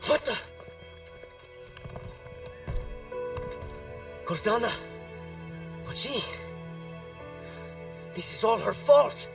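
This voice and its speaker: A man voicing a frightened, bitter pilot who speaks sarcastically and breaks into desperate shouts. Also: high-pitched, animated, takes it slowly, creaky, far from the mic, exaggerated voice. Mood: anxious sarcastic. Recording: game audio with music, off-mic.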